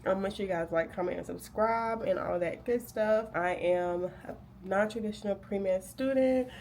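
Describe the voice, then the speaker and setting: A woman's voice: mid-range voice, moderate pace, a little mellow, a little hiss or hum. A young woman vlogging casually while getting ready to study, sounding nasal from a cold, chatty and rambling with lots of fillers. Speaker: vlogger and graduate student. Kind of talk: casual day-in-the-life vlog chat.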